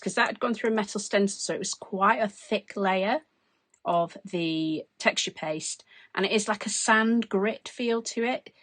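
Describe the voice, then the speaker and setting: A woman in her forties, hoarse from losing her voice, chatting through a craft demonstration at her desk. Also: mid-range voice, a bit bright, no echo. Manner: chatty, explains as she works. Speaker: craft tutorial host. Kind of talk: casual step-by-step crafting demonstration. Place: craft desk indoors, overhead camera.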